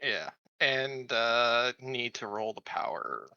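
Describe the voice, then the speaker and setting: Very bright-voiced man playing tabletop game. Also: medium pitch, expressive, slow, gravelly, clear, resonant tone, no echo, highs cut off. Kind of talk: casual game-table chat.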